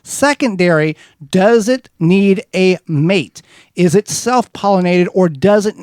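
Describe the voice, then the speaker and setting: A man's voice: high voice, a little mellow, no echo, studio quality. A man hosting a gardening radio show, giving folksy, rambling advice with many restarts. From Wisconsin. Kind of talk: casual gardening advice chat. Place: studio.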